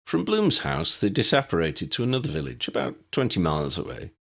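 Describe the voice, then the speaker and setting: A man's voice: mid-range voice, huge pitch swings, brisk, creaky, fairly bright tone. A man reading scripted story narration as an audiobook narrator.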